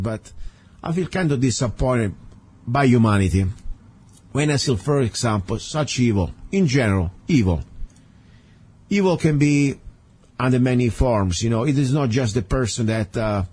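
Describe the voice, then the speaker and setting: Husky Italian-accented male radio host. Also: mid-range voice, darkish tone. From Italy. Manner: rambling, earnest, with many fillers and restarts. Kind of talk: talk radio monologue. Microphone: studio microphone.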